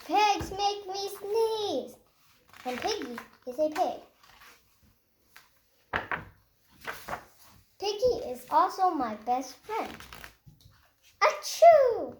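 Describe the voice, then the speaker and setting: Woman voicing a high, melodramatic sneezy boy elephant. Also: very animated, slow, full character voice. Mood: miserable. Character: anxious, dramatic male cartoon elephant with sneezing fits. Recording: roomy echo, faint background noise.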